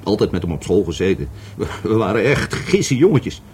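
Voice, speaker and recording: A man's voice: lowish voice, very animated, moderate pace, darkish tone, slight background noise. An actor in a Dutch radio play playing a drunken, bitter divorced man who rambles, stammers and repeats himself. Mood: rambling, animated. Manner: stammering, repetitive, tipsy.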